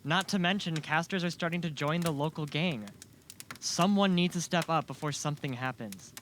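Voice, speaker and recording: Casting: male actor for an inquisitive young townsman in an audio drama, slightly high voice, earnest and eager. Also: moderate pace, close to an everyday voice. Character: eager, curious young man, earnest small-town shop worker. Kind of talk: amateur audio drama acting. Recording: faint background noise.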